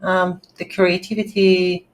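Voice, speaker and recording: Slow, hesitant tutorial instructor. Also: mid-range voice, level tone. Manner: hesitant, thinking aloud in short phrases. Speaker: game development tutorial instructor. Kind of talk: unscripted screen-recorded tutorial narration. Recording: faint background noise.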